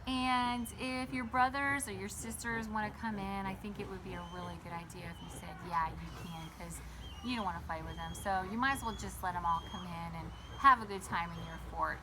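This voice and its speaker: A woman reading a picture book aloud to children like a teacher, pausing to explain and ask them simple questions. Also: mid-range voice, fairly even intonation, noisy background. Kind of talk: storybook read-aloud with explanatory asides.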